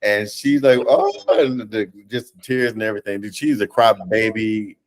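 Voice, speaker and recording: Animated American man, low register, unscripted storyteller in a remote interview. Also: moderate pace, poor audio. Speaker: theatre director and writer, interview guest. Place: indoors, on a video call.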